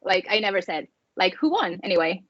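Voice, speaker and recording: A woman's voice: medium pitch, very animated, a little fast, dry recording, dull audio. A woman in her forties from Mexico and the USA, chatting and joking casually as a podcast guest, slipping between English and Spanish. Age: 40s. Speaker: podcast guest, soccer fan. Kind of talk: casual chat in Spanglish.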